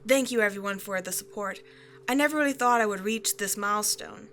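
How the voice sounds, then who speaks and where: A woman's voice: medium pitch, brisk, fairly bright tone, slight background noise. A woman who makes ASMR roleplays, giving upbeat, direct channel announcements. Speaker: ASMR creator.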